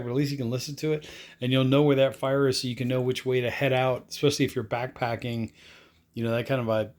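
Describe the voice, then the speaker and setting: Guy rambling thru a product review, laid-back, lots of "kinda get the idea". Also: medium pitch. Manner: rambling, filler-heavy, offhand. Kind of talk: casual unboxing review.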